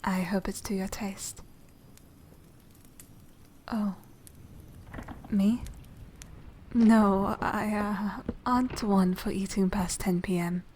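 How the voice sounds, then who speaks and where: A woman playing a polite, secretive young noble vampire lady in a soft, half-whispered ASMR roleplay, speaking formally and with measured care. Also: mid-range voice, steady tone, a little hiss or hum, close to an everyday voice. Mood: polite, slightly evasive. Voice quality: soft, breathy whispering edge. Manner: hushed, formal, measured, genteel.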